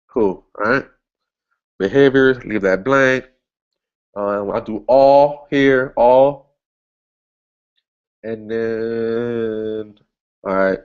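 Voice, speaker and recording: A man's voice: medium pitch, slow pace, pauses often, clear, resonant tone, dull audio. A man casually walking through an online ad setup, thinking aloud as he clicks, with frequent restarts and upbeat asides. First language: English. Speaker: online marketing instructor. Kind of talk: casual screen-share tutorial.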